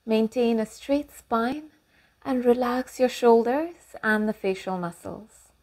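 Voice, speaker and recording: A woman leading a guided meditation and affirmations in calm, unhurried instruction with long pauses. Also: on the high side.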